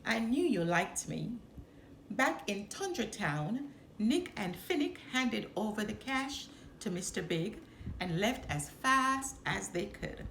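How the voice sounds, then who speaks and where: A woman reading a children's storybook aloud with expressive, deliberate phrasing. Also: medium pitch. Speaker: storybook reader. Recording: roomy echo, some background noise.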